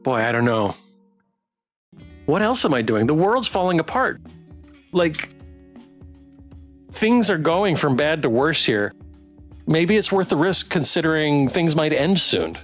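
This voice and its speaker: A man's voice: a little high, big pitch swings, measured pace, long pauses. A man streaming a game playthrough, chatting casually, reading narration and thinking aloud. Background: music.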